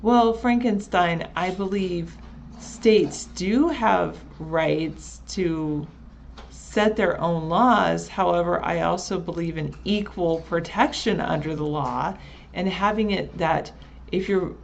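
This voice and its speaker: Woman co-host, very deep gravelly voice, relaxed and chatty, with a faint hiss behind. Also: slow pace. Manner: relaxed, chatty, answers viewers by username. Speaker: chat show co-host reading viewer chat. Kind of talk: casual live chat show.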